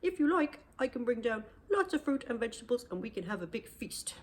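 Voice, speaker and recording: A woman voicing a big, gentle male giant who booms slowly but is shy at heart. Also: very animated, a little hiss or hum. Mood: timid. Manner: booming, stomping chant.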